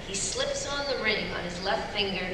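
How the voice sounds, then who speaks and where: A woman's voice: medium pitch, lively intonation, moderate pace. A woman reading scripted action directions at a live table read. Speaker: voice actor reading stage directions. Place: large convention hall, live on stage. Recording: echoey hall PA from far off, noisy.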